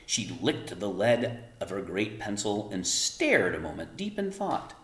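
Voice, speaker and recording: Male storybook reader: animated, lowish voice, emphatic and explanatory, roomy echo. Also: measured pace. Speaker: read-aloud narrator explaining words to children. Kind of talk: expressive storybook read-aloud with casual asides. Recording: roomy, echoing room sound.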